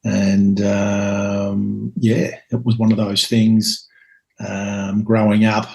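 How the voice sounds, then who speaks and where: A Scottish man in his fifties, a guest telling his life story in a reflective, hesitant way with many pauses and ums. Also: fairly low pitch, little pitch movement, creaky, a little mellow. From Scotland. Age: 50s.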